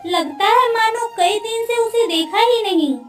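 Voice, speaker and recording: A woman's voice: extremely high pitch, measured pace, a bit bright. A woman voicing an anxious, tender queen who longs for her lost daughter in a cartoon story. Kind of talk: Hindi cartoon story dialogue. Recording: roomy, distant sound with faint music.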